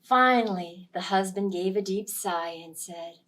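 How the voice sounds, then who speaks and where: A woman telling a story aloud to young children, with expressive, dramatic delivery and emphasis on key words. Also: medium pitch, measured pace, distant mic.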